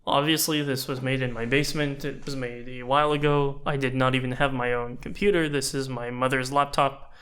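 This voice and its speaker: A man's voice: mid-range voice, steady tone, brisk, fairly bright tone. A man in his twenties whose first language is French, casually and thoughtfully looking back on his channel with chatty, self-deprecating asides. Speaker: YouTuber and animator. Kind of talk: casual reflective talk.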